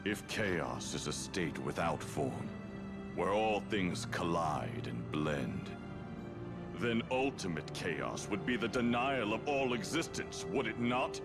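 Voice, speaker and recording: An actor playing a sinister, gloating spirit of chaos with ominous, theatrical, drawn-out delivery in a video game cutscene. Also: male voice, low voice, lively intonation, exaggerated voice. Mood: grand, gloating. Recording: loud background music.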